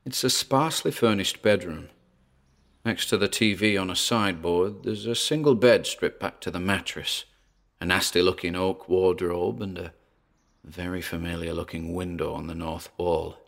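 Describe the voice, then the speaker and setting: A man's voice: deep voice, moderate pace, gravelly, fairly bright tone. An actor playing a frightened paranormal investigator who narrates his exploration aloud in an audio drama. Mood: uneasy, observant. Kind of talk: audio drama acting, found-recording monologue.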